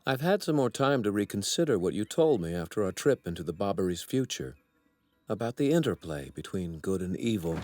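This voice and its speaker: An arrogant young apprentice deity from an anime dub. His phrasing is formal and exact, his politeness edged with righteous menace. The pitch travels widely, and the sound is very dry. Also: big pitch swings, a little fast, darkish tone, no echo. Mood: deferential. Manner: formal, haughty, precisely worded.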